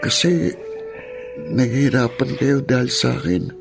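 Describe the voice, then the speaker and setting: Creaky, frail Filipino son. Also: male voice, mid-range voice, animated, a little slow, over music. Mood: weak, apologetic. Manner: weak, subdued. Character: frail, apologetic sick young son. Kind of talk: Filipino radio drama acting.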